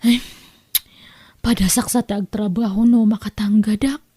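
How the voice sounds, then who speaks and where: A woman's voice: medium pitch, steady tone, measured pace, mellow, husky, dry recording, clean studio sound. A woman with a Filipino accent playing a struggling, patient wife and mother in an audio drama. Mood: pleading. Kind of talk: Ilocano audio drama acting.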